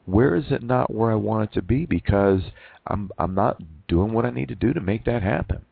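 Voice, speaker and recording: A man in his forties, hosting a reflective personal monologue, who talks haltingly with many restarts and repeated words. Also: lowish voice, animated, gravelly, slightly rough, no echo. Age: 40s. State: losing his voice from overwork. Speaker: podcast host. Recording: narrow phone-like sound.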